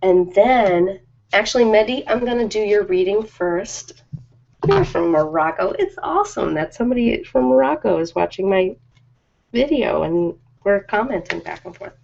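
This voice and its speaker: A woman's voice: mid-range voice, animated, normal speed, gravelly, darkish tone. Chatty woman in dull lo-fi audio. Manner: chatty, informal, rambling. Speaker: ASMR creator hosting a live stream. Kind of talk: casual ASMR live-stream chat. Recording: dull lo-fi live-stream audio.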